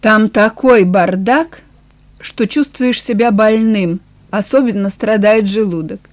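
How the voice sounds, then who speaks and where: A woman's voice: mid-range voice, slow pace, a little mellow, natural voice. Woman reading as a weary, frank farmer nearing sixty. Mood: weary, calm. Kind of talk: audiobook character dialogue.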